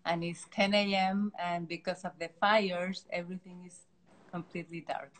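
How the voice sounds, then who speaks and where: A woman's voice: on the low side, flat delivery, low-quality recording. A middle-aged woman from Chile with a Chilean Spanish accent, a native Spanish speaker, talking as a guest in a casual interview with frequent restarts. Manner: warm, halting, frequent restarts. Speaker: artist guest. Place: art studio.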